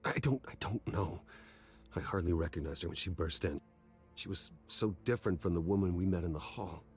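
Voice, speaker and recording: Man playing grim game hero, super low voice, terse, restrained, brooding. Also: fairly quick, faint music behind, lightly acted. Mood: troubled. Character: grim, guarded male hero, controlled, brooding.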